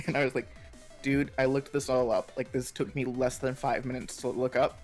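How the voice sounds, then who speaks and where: Young guy on a stream telling stories, kinda rambly and halting, medium pitch, faint music behind. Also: little pitch movement. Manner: rambling, halting storytelling peppered with 'like'. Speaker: stream co-host. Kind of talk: casual chat on a live stream.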